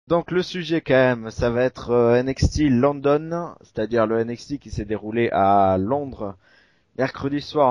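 A man's voice: medium pitch, dry recording, highs cut off. Chatty southwestern French man hosting. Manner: chatty, full of "euh" fillers, animated. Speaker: podcast host. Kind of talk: casual podcast chat.

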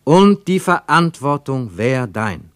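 A man's voice: mid-range voice, big pitch swings, takes it slowly, no echo, voice pushed up. A male narrator puts on a fervent, zealous German youth in a radio drama. The delivery is exalted and declamatory, raised above plain narration, in a dry studio. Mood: oath-like, loud. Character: zealous German boy reciting Nazi-era pledges with exalted faith. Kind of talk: radio play narrator voicing quoted speech.

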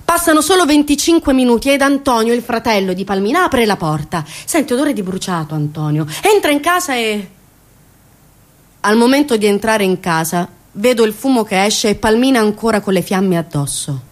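A woman's voice: on the high side, expressive, moderate pace. Female stage storyteller. Dramatic, impassioned, indignant delivery with big melodic swings and weighted repetition. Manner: impassioned, emphatic, repeats phrases for weight; indignant rhetorical questions to listeners. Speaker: stage actress performing a monologue. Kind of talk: dramatic theatrical storytelling monologue.

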